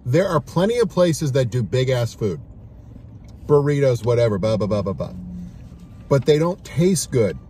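A loud, excitable man in his forties chats and jokes while eating in a parked car. His voice sits at an ordinary middle pitch. A faint car hum runs underneath. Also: normal speed. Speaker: radio host. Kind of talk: casual food review chat.

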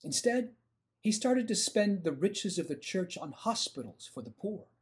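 A middle-aged man reading a children's story aloud in an expressive, emphatic storytelling manner. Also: sits high, unhurried. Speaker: storybook reader. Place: indoors, home room with bookshelf.

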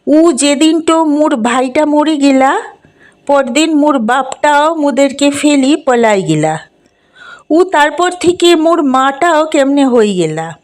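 A woman's voice: high voice, expressive, slow, voice pushed up. Woman playing a pleading little village girl. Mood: sorrowful. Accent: rural western Bengal dialect. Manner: pleading, earnest.

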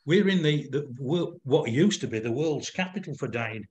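A man's voice: medium pitch, animated, moderate pace, a little mellow, lo-fi sound, a little hiss or hum. Older Yorkshire man explaining his craft. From Yorkshire, England. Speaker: fly-tying demonstrator. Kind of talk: informal demonstration with questions and answers. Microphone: video call.

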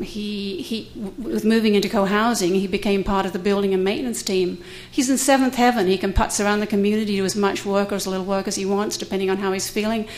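A middle-aged woman, speaking candidly and with light humor as a panelist at a university conference, at a podium microphone in a lecture room. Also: medium pitch. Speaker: conference panelist, cohousing resident. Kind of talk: prepared talk at a conference.

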